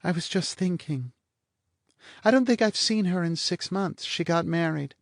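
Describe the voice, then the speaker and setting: A man's voice: high voice, unhurried, gravelly, dry recording, clean studio sound, voice pushed up. A man voicing a languid, teasing blonde woman with a lazy, sardonic drawl. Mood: mocking.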